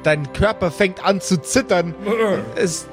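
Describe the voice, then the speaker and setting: A man narrating a tabletop role-playing game as game master, with measured, deliberate storytelling phrasing. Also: sits high, over music.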